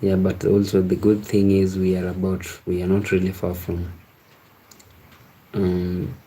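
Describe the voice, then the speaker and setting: A man hosting a casual live stream, chatting with viewers in a halting, repetitive way. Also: deep voice, completely flat delivery, mellow, breathy edge, low-quality recording. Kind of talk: casual chat with live viewers, reading out and answering comments.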